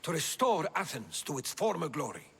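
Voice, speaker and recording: An actor playing a serious, determined political conspirator in a video game, speaking in a measured way. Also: male voice, on the high side, very animated, vocal fry, fairly bright tone, slight background noise, full character voice. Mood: resolute. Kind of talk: video game cutscene acting.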